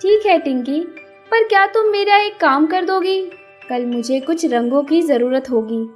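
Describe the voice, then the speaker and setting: A woman plays a motherly bird aunt in a cartoon fable. The voice is high, with a familiar, questioning, politely demanding manner. Light music runs underneath. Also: unhurried. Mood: requesting. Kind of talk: children's cartoon character acting.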